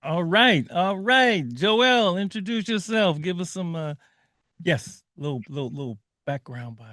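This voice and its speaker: Male radio host, high and bright, casual and slangy, prone to laughing. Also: expressive, measured pace, no echo, studio quality. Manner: laughs often, repeats words, street slang.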